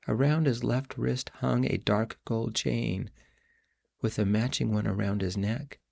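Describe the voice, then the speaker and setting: A man reading his own novel aloud in a measured, reflective first-person storytelling voice. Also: mid-range voice, fairly even intonation, vocal fry, a little mellow. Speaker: audiobook narrator and author. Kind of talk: first-person audiobook narration.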